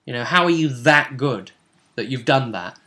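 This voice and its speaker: A man's voice: medium pitch, animated, normal speed, pushed and bright. A man talking casually as a game master, explaining events and prompting his partner with rhetorical questions. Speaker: game master recapping a tabletop role-playing session. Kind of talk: casual recap discussion.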